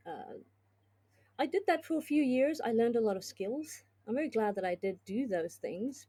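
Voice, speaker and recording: A woman's voice: on the low side, warm tone. A middle-aged woman from South Africa with a South African accent and an Australian twang, talking through her career as an interview guest in a thoughtful, conversational way. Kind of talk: casual interview talk.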